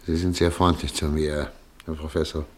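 An actor playing a German émigré doctor who calmly foresees war and internment in a radio drama. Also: male voice, extremely low pitch, normal speed. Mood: humble. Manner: subdued, fatalistic, earnest. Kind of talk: radio play acting.

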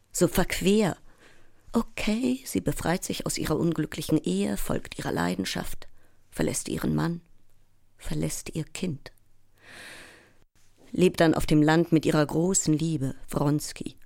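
Low-voiced, overwrought sleepless actress. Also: female voice, a little mellow, no room sound, clean studio sound. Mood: scornful, agitated. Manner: intense, fragmented, often dropping into breathy whispers. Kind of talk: theatrical audio drama monologue.

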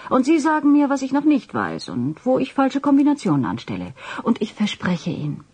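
A persistent woman reporter in a radio drama. She has a husky, medium-pitched voice that swings animatedly, with a teasing, probing edge. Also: wildly swooping pitch, a little fast, a little mellow, exaggerated voice. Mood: persuasive. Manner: probing, playful, insistent. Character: persistent, teasing, self-assured female reporter. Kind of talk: German radio drama acting.

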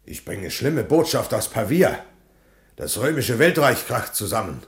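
An actor playing an agitated, dutiful Roman cavalry officer in a radio play, urgent and solemn. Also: male voice, mid-range voice, very animated, unhurried, roomy sound. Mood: grave, urgent. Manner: urgent, exclaiming, solemnly patriotic. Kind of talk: German radio play acting.

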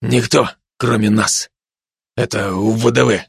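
A terse, battle-worn army dog voiced by a male narrator in an animal story. He speaks in a low-key, clipped military manner, recorded dry and close. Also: fairly even intonation, unhurried, creaky, slightly rough, no echo, exaggerated voice. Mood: prompting. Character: gruff battered special-forces dog, terse military leader.

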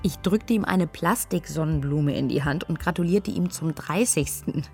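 A young woman tells her story in a radio drama monologue. Her voice sits low with a clear creak, and she is dry, wry and candid about grief and drinking. Soft music runs under her. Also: moderate pace. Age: young adult. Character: young female student recalling a drunken night of grief, wry. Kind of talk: interview-like monologue in a radio play.

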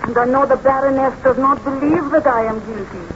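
A desperate young-hearted servant played by an actress protests with very high, insistent pleading. She speaks in formal old radio melodrama, heard through a dull, noisy vintage recording. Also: fairly even intonation, a little fast, lightly acted. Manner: pleading, indignant, emphatic. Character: desperate servant woman accused of murdering a child. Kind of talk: 1930s radio serial acting.

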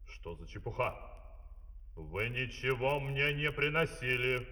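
An actor playing an imperious, excitable ruler in a comic fairy-tale opera, commanding and declamatory. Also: male voice, medium pitch, unhurried. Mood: suspicious, stern. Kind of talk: theatrical Soviet fairy-tale audio opera acting. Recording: distant, long hall reverb, vinyl transfer.

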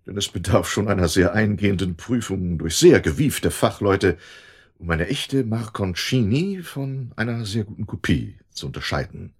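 Give the part of a shady dealer in a radio play. His is husky and lowish, swinging widely in pitch. He is slick and evasive, talking down to people with sardonic ease. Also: heavily acted. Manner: condescending, sardonic, talkative. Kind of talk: German radio drama acting.

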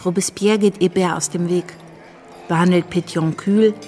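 An actress playing a revolutionary's wife who narrates events in a measured, dryly observant way in a radio drama. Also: female voice, on the low side, steady tone, dark tone, soft background music, lightly acted.

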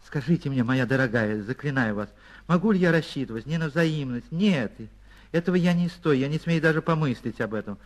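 A man's voice: fairly high pitch, animated, a little fast, close to an everyday voice. A man voicing an earnest young suitor in a story, ardent and pompous, easily flustered and outraged. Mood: fervent pleading.

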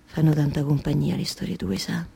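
An actress playing a weary, talkative weaver wife in a theatrical monologue, speaking colloquially with a Roman accent and repeating phrases haltingly. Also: female voice, sits low, fairly even intonation, creaky, dark tone, slightly rough, full character voice. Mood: wistful storytelling. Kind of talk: theatrical monologue for radio theatre with live music.

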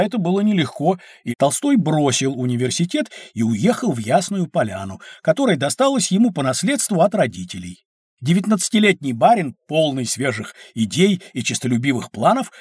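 A learned, patient storyteller for a children's educational audio play. The voice is fairly high and husky, with very varied intonation as it explains. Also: male voice, sing-song, moderate pace, darkish tone, dry recording, clean studio sound. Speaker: narrator-teacher.

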